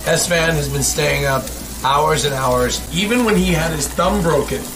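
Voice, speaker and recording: A man's voice: a little high, unhurried, background noise. A young man chatting casually as a guest on a livestream at a restaurant table, with food sizzling. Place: Korean barbecue restaurant, indoors.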